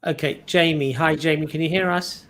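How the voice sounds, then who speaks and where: A man's voice: on the high side, fairly even intonation, moderate pace, a bit bright. A man hosting a live online discussion, patiently guiding a caller over a video call. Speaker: host.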